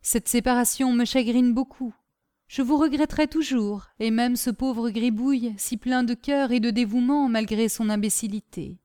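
A woman voicing a kindly, fatherly gentleman, master of a household, in a storybook reading with a concerned, paternal manner. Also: fairly high pitch, fairly even intonation, normal speed, voice pushed up, natural voice. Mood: sad, affectionate. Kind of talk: audiobook character acting.

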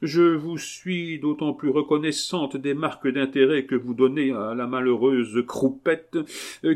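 A man's voice: on the high side, a little mellow, voice pushed up, natural voice. A man voicing a haughty, grieving marquise from a novel, plaintive and melodramatic in an aristocratic way. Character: haughty, overwrought grieving marquise mourning her little dog.

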